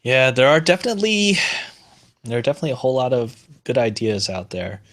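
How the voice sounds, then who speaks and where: Podcast cohost, average voice, calm thinky way of talking, bit dry. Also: male voice, medium pitch, fast talker. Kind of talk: casual podcast chat.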